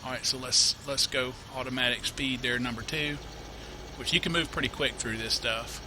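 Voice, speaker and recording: A man's voice: medium pitch, steady tone, normal speed. Guy with a cold doing a chill farming game walkthrough, lots of uh's, noisy mic hiss. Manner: rambling, self-correcting, many uh fillers. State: has a cold, sniffling. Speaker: gameplay tutorial host. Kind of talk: casual let's-play commentary.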